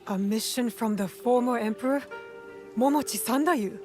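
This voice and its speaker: A woman's voice: on the high side, moderate pace, darkish tone, natural voice. An actress playing a serious, determined young female assassin in a video game, speaking in a terse, direct way. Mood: urgent, curious. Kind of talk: video game cutscene acting. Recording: music under the voice.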